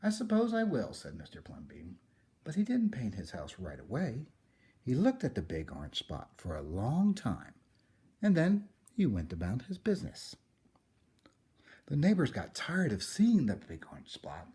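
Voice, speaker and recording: A man reading a children's picture book aloud in a theatrical way, with dramatic pauses. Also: fairly low pitch, huge pitch swings, mellow. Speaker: story reader.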